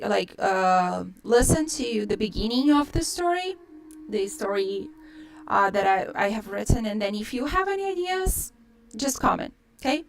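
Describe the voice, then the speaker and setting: A woman with a Brazilian accent, a native Portuguese speaker, chatting casually and in a rambling way to livestream viewers as a storyteller host. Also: mid-range voice. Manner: rambling, peppered with "like".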